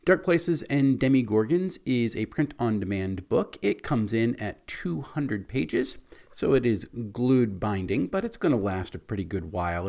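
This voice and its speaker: A man with a scratchy, hoarse voice, casually reviewing a tabletop game book. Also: lowish voice. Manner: conversational, mildly critical.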